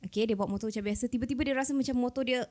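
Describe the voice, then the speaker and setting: A woman with a Malaysian accent co-hosting a podcast, telling spooky stories in an animated, casual chat that switches between Malay and English. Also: fairly high pitch, rapid-fire. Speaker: podcast co-host.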